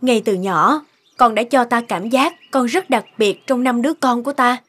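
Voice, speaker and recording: A woman playing a gentle, thoughtful mother in a cartoon. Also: a little high, moderate pace, slightly rough. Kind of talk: cartoon dubbing.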